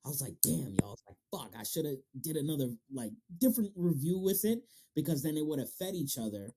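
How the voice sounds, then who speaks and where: A man's voice: on the high side, big pitch swings, fast talker, background noise. A man hosting a casual interview, talking in loose slang and swearing freely. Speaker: podcast interviewer and manga reviewer.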